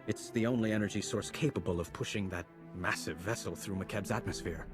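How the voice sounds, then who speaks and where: A man's voice: fairly low pitch, brisk, over music, natural voice. A man voicing an enthusiastic, nerdy engineer in a sci-fi game, talking in technical terms. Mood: explanatory. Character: eager, geeky top engineer. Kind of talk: scripted game dialogue.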